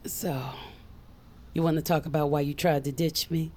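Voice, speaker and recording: An actress playing a guarded, earnest middle-aged witch on the run from her crime family, confiding in an intimate audio drama with a gravelly voice. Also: low-pitched, flat delivery, moderate pace, mellow, a little hiss or hum, lightly acted. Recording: music under the voice. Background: music.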